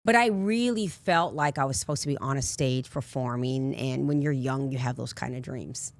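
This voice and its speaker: A raspy woman in her forties, a life coach telling candid personal stories in a casual interview. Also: very deep voice, normal speed, no echo. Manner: candid, rambling storyteller with frequent asides. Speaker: life coach, podcast guest.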